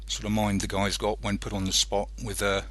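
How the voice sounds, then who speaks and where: A man hosting an internet radio show in a casual, rambling monologue, halting and hesitant as he thinks aloud. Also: on the low side, completely flat delivery, fairly bright tone.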